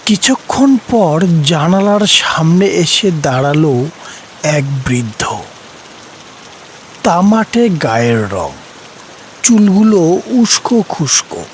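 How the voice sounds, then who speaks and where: Very slow male storyteller. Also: on the high side, big pitch swings, a little hiss or hum, low-quality recording. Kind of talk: scripted story narration.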